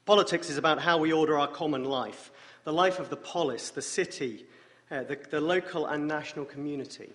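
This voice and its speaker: An English man preaching as a guest speaker, with a wry, self-deprecating humor and a voice deeper than usual from a morning rasp. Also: a little fast, fairly bright tone. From England. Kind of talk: sermon with dry jokes. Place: church sanctuary. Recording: long church reverb.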